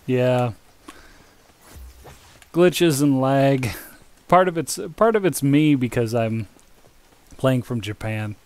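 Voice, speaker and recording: A man's voice: mid-range voice, takes it slowly, pauses often, darkish tone. Chatty American gamer guy. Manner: chatty, rambling with many fillers. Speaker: gamer streaming with a friend. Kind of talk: casual game chat.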